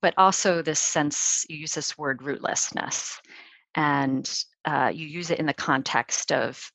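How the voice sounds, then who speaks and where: A woman with a low voice hosts a podcast interview. She asks her questions gently and often gives soft, affirming agreement. The sound is dry and close. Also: a little slow, gravelly, no echo, low-quality recording. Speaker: podcast host.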